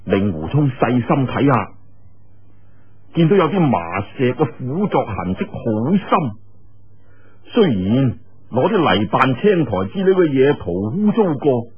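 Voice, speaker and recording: Cantonese guy doing dramatic storytelling, really husky, lively pitch swings, kinda thin radio sound. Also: unhurried. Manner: theatrical, colloquial, expressive interjections. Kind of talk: dramatic Cantonese storytelling of a martial arts novel. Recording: muffled narrow-band radio-like sound.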